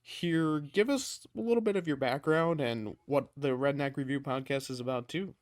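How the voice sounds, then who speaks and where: A man's voice: on the high side, moderate pace, no room sound, studio quality. A man in his twenties hosting a podcast, chatting casually and joking with frequent laughs. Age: 20s. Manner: jokey, laughs often. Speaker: podcast host.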